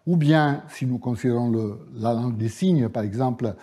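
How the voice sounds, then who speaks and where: Male professor, Italian accent, unhurried university lecture, mellow husky tone, pauses to find words. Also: medium pitch. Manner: measured, hesitant with frequent fillers. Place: lecture hall.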